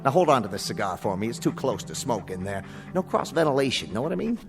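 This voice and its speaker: A man's voice: mid-range voice, big pitch swings, fast, vocal fry, music in the background. An actor playing a grumpy male genie who talks in sarcastic beatnik slang, in a radio drama. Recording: long hall reverb.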